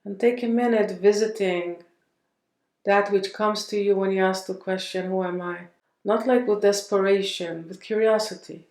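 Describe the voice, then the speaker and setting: A woman leading a guided meditation in a gentle, unhurried manner, leaving long pauses between phrases. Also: mid-range voice, fairly even intonation, roomy sound, rough recording.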